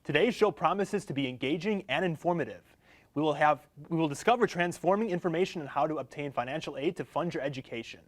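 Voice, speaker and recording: A man's voice: on the high side, quick pace. A young man hosting a student-produced studio program, reading his lines a bit stiffly and eagerly. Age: young adult. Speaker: student show host.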